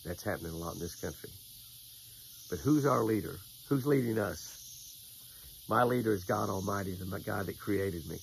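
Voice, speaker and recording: A man's voice: fairly low pitch, moderate pace, vocal fry, long pauses, slight background noise, low-quality recording. An older American man outdoors by a lake, giving a folksy devotional and fishing tip, repeating phrases for emphasis. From United States. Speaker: devotional host and fishing angler. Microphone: camera at close range.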